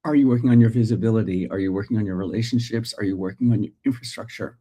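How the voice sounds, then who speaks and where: A middle-aged Australian man hosting a casual morning livestream, thinking aloud with pauses and restarts while greeting his viewers. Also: fairly low pitch, mellow, slightly rough. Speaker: host.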